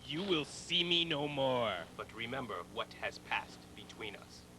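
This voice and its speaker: A grave, regretful ghost in chains, as a male storyteller puts him on, delivering solemn warnings for a storybook read-along. The delivery is slow and heavy with sorrow, over clearly audible ambient noise. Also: medium pitch, animated, lightly acted.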